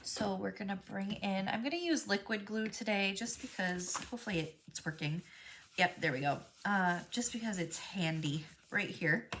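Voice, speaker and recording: A woman's voice: mid-range voice, fairly bright tone, slight background noise. A woman leading a live crafting class in a chatty, friendly way, with frequent ums and a stuffy, froggy voice from a cold. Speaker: craft instructor.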